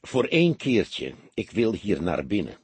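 A man playing a seasoned police detective in an audiobook, serious and careful, speaking in a measured, earnest way. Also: on the low side, wildly swooping pitch, a little mellow, breathy edge, no room sound, full character voice. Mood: grave, resolute.

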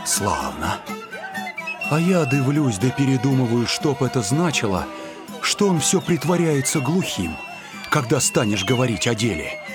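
An actor playing a rowdy, hot-blooded young Cossack village lad in a theatrical, declamatory audio drama style. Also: male voice, medium pitch, sing-song, moderate pace, over music, lightly acted. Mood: muttering, indignant.

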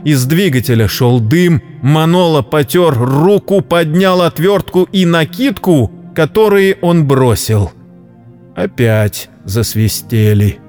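A man narrating a scripted story dramatically, in a solemn, measured literary manner. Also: a little high, lively intonation, darkish tone. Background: background music.

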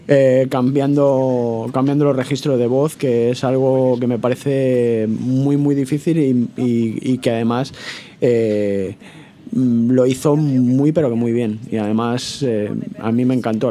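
A man with a Spanish accent from Spain hosting a casual live radio discussion, halting and repeating words as he searches. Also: mid-range voice, warm tone, a little hiss or hum. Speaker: radio show host.

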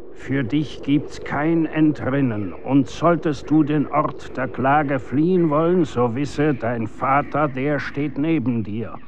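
An actor in a German radio drama playing a stern, grave executioner instructing his son in commanding, archaic, measured diction. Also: mid-range voice, lo-fi sound, a little hiss or hum. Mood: ominous.

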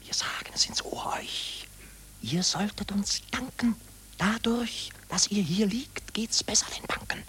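A woman acting a mocking war profiteer in a radio play, gloating in rhyme with a breathy whisper. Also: medium pitch, a little slow, a bit bright. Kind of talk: stylized rhymed radio drama.

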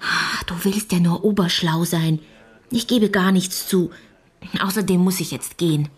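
Breathy, stubborn young girl. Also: fairly low pitch, steady tone, a little slow. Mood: argumentative. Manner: questioning, defiant, earnest. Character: young girl, curious, stubborn, loyal, quick to argue. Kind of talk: radio drama acting.

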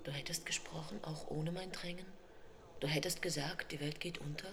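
An actress playing a lost, helpless angel in a radio play, speaking softly in a breathy half-whisper. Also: female voice, sits low, fairly even intonation, moderate pace, noisy background. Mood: sad, hushed. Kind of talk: German radio play acting.

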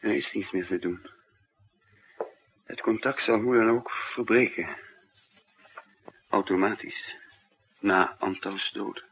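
An actor playing a weary, brooding male spy who narrates his own story with slow, deliberate drama in a 1960s radio play. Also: lowish voice, vocal fry, husky, exaggerated voice. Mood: resigned. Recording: dull, echoey old radio recording.

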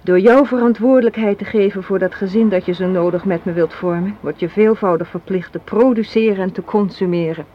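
A woman's voice: mid-range voice, brisk, few pauses, lightly acted. Actress as cynical, assertive woman in her twenties, radio drama, articulate and argumentative; dull, hissy old recording. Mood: bitter, lecturing. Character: assertive, cynical young woman arguing against a marriage proposal. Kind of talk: radio play acting.

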